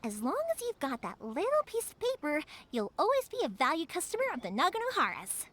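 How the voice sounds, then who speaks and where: A bubbly young fireworks maker. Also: female voice, high voice, brisk, exaggerated voice. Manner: lively, friendly, reassuring. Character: cheerful young woman fireworks maker, warm-hearted. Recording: game audio with background music.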